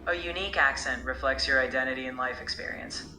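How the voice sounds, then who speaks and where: Male AI tutor, very bright sharp tone, roomy sound, light noise, measured coaching. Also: mid-range voice. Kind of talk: encouraging spoken feedback.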